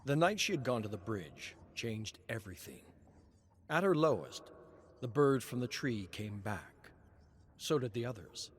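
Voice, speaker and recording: A man narrating a story in a measured, expressive reading. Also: medium pitch, slight background noise. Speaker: lore narrator.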